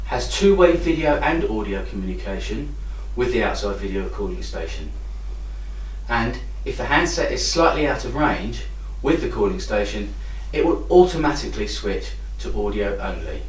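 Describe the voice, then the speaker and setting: A man's voice: on the low side, sing-song, moderate pace. Guy doing a product demo, voice goes up and down, roomy and a bit far from the mic. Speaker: product demonstrator. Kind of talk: instructional product demonstration. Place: indoor room with hard walls. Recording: roomy echo, distant microphone.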